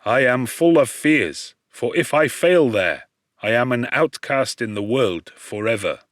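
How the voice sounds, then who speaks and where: Audiobook role: male actor as forlorn monster, humble and anxious, formal pleading speech, deliberate pace, dry close sound. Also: mid-range voice, lively intonation, fairly bright tone, dry recording. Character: lonely outcast male creature, humble, anxious, eloquent. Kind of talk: audiobook character voice.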